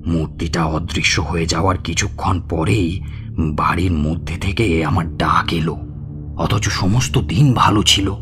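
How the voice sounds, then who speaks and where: A country physician telling his ghost story in an audio drama. His voice is very low, earnest and courteous as he narrates and reasons. Music plays clearly underneath. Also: a little slow. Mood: narrating, astonished. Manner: storytelling, polite, pleading. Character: village physician recounting his ghost encounter, respectful, earnest. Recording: music behind the voice.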